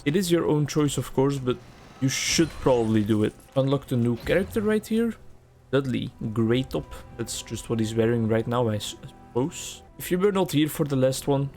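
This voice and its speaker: A man's voice: mid-range voice, a little mellow. Young man, native Dutch speaker, gaming commentary, chatty and rambling, mid-range voice. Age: young adult. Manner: chatty, rambling, self-aware. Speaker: gaming video creator. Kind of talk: casual gameplay commentary.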